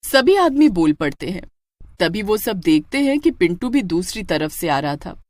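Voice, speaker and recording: A woman's voice: fairly low pitch, sing-song, normal speed, darkish tone, no room sound, studio quality. A woman telling a story as a dramatic scripted narration.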